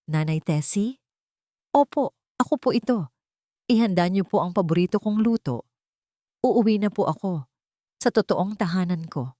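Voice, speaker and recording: A woman's voice: medium pitch, lively intonation, normal speed, pauses often, warm tone, no room sound, clean studio sound, lightly acted. A woman narrator voicing a wronged, wealthy businesswoman in an audio drama, dignified, composed and commanding. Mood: soft, relieved.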